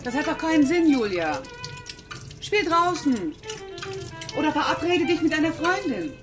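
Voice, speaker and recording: A woman playing a brisk but affectionate busy mother in a children's radio play. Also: high voice, sing-song, over music. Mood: impatient.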